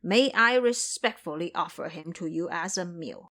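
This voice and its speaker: A woman's voice: lowish voice, animated, moderate pace, pushed and bright, pitched below the performer's own voice, heavily acted. Woman playing an eager fawning tiger demon officer. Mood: eager, boastful. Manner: deferential, formal report to a superior.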